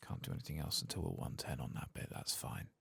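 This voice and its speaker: A soft-spoken man murmuring unhurried video game commentary in an ASMR style, at times slipping into a whisper. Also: a bass voice, big pitch swings, gravelly, a little hiss or hum. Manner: murmured, unhurried, reading quest text aloud. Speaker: ASMR gaming channel host.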